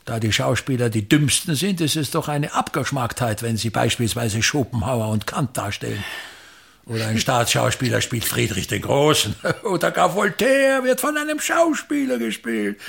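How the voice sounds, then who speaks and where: An actor playing a pompous, bitter, aging theatre maker who declaims contemptuous rants with sudden swings in a radio play. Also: male voice, mid-range voice, big pitch swings, moderate pace, creaky, a bit bright, husky, exaggerated voice. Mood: scornful lecturing.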